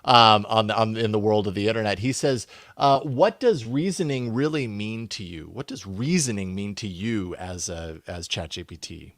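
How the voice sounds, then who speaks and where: A man's voice: lowish voice, animated, normal speed, few pauses, fairly bright tone, no room sound. A man talking casually and thoughtfully as a podcast co-host, rambling a little as he works through his ideas. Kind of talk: casual conversational tech podcast talk.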